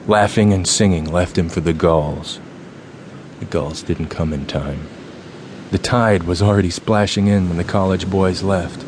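An actor playing a solemn, ominous fraternity leader in a radio drama, with a slow theatrical and commanding delivery. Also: male voice, low-pitched, background noise. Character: solemn, ominous fraternity leader telling ghost tales and giving orders. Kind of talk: dramatic radio play acting.

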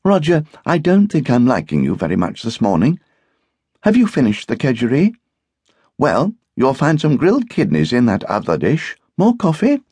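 A man voicing a brisk, opinionated English wife and hostess in an audiobook, with an arch, emphatic manner. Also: mid-range voice, huge pitch swings, a little mellow, breathy edge, no echo, pitched above the performer's own voice.

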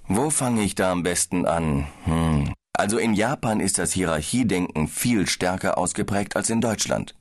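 A man in a scripted audiobook dialogue, calmly explaining business manners abroad. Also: deep voice, normal speed, hardly any pauses. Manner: calm, explaining, slightly tired. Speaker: business traveller in a language-course dialogue.

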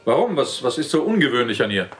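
An actor plays a gallant male police inspector in a horror radio play. His mid-pitched voice swings widely in intonation. Music is clearly audible behind him. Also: sing-song, quick pace, natural voice. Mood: questioning, businesslike. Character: gallant male Scotland Yard ghost-hunting inspector. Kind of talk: German audio horror drama acting.

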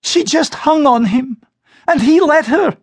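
A male narrator voicing a young widowed woman, pitched up and speaking softly and earnestly. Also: a little slow, no echo. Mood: distressed. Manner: raised pitch, soft and earnest. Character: young widowed woman, heartsick yet composed.

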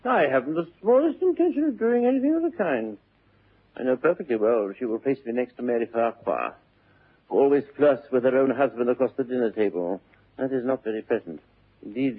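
An actor playing a witty, flippant upper-class English bachelor in a classic stage comedy, airy, glib and theatrical. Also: male voice, mid-range voice, husky. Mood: airy, teasing. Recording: muffled vintage narrow-band radio recording.

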